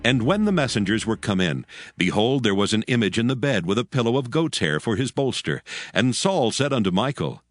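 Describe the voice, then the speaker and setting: Low-voiced male scripture narrator over music. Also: very animated, a little fast, no room sound.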